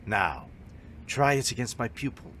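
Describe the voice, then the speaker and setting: A man playing a proud, stern martial arts master who instructs a pupil in formal, measured speech for an audio drama. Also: mid-range voice, sing-song, very noisy. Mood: instructive. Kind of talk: fan audio drama acting.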